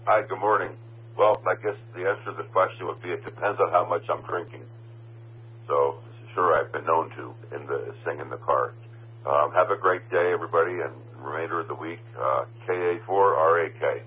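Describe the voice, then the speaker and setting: Deep, wry man over tinny radio. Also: a little fast. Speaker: caller checking in to a radio net. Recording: tinny radio transmission with faint hiss.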